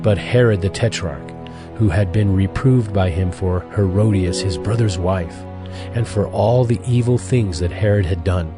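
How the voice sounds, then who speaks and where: A man's voice: low-pitched, normal speed. A man reading scripted narration for a dramatized audio Bible. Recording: music clearly behind the voice.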